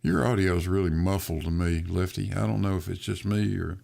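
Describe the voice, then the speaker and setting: A man hosting a live stream, chatting casually, joking, and quick to agree with his guests. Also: low voice, creaky, darkish tone. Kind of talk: casual call-in chat.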